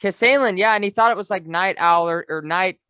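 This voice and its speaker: A man's voice: high-pitched, a little fast, bright, forceful tone, smooth, dry recording. A man co-hosting a podcast in a casual book chat, on slightly lo-fi audio. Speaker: podcast co-host.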